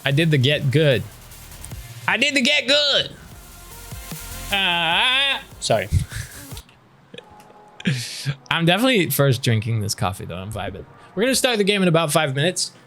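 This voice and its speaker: A man's voice: fairly high pitch, huge pitch swings, measured pace, long pauses, pushed and bright, soft background music. A man chatting casually with his live-stream viewers before starting a game, reading their messages aloud and answering them.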